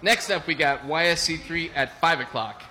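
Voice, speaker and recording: A young adult man hosting a casual podcast, relaxed, announcing matchups and making picks. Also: a little high, moderate pace. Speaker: podcast host.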